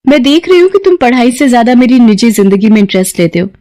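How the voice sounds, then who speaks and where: A woman's voice: fairly high pitch, big pitch swings, fast, mellow. A woman voicing a principled female tutor in an audio story, firm and admonishing in manner. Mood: stern. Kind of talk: dramatized audio story acting.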